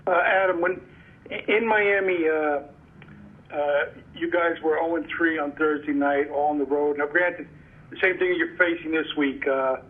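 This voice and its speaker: A man working as a reporter, asking a halting question in piecemeal phrases over a telephone conference line. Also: fairly high pitch, steady tone, phone-quality sound. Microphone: phone call.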